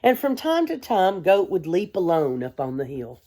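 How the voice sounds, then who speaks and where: A woman, a kindergarten teacher, reading a storybook aloud to young children in a gentle, expressive way. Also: lowish voice. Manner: gentle, hushed, expressive storytelling with deliberate pauses; addresses young listeners with simple questions.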